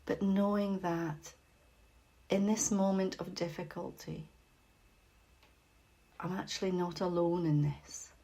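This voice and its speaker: A woman leading a guided meditation as facilitator, speaking gently and without hurry, with long pauses and a soft voice that turns breathy at phrase ends. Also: fairly low pitch, fairly even intonation.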